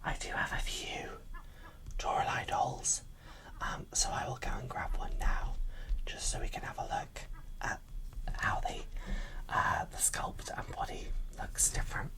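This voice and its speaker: An ASMR creator giving gentle, unhurried unboxing commentary in a breathy, enthusiastic whisper. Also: low-quality recording.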